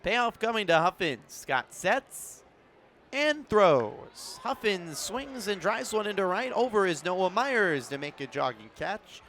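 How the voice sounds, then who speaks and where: High-pitched American man calling play-by-play. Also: a little hiss or hum. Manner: measured delivery rising to excited calls. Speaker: play-by-play sports announcer. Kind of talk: sports radio and TV play-by-play commentary.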